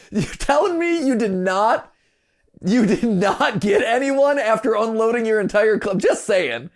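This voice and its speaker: A man hosting a reaction show, giving excited, joking and incredulous commentary full of exclamations. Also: sits high, a little slow.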